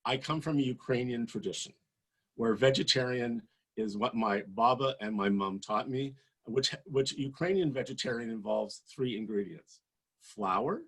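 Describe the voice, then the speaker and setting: Man in his sixties, husky voice, passionate, emphatic political speech. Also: medium pitch. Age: 60s. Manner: passionate, emphatic, rising to forceful peaks. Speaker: political candidate.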